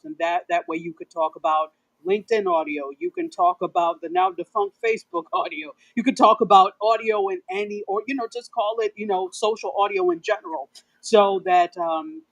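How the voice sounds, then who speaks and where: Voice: medium pitch, gravelly, no echo, rough recording. A guest speaker on a casual panel, explaining a concept patiently with examples.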